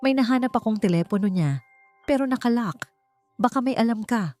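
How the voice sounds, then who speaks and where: A woman's voice: a little high, animated, brisk, a little mellow, soft background music, pitched above the performer's own voice, lightly acted. A woman voicing a determined young woman in a story, defiant, firm and insistent in manner.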